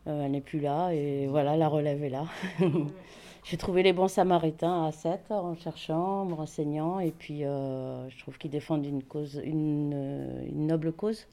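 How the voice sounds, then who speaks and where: A woman from Paris talks in a rather low, warm and mellow voice. She is a volunteer cook answering questions, and she hesitates often and pauses to find her words. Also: steady tone. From Paris, France. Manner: hesitant, many pauses and fillers. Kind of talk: casual interview answers.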